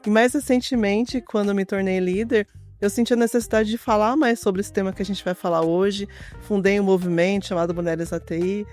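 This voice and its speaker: A woman's voice: medium pitch, steady tone, fairly quick, darkish tone, soft background music. A woman from São Paulo state speaking casually as a guest on an executive panel, often adding tag fillers. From Bauru, São Paulo state, Brazil. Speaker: panel guest, tech leader. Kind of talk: panel discussion.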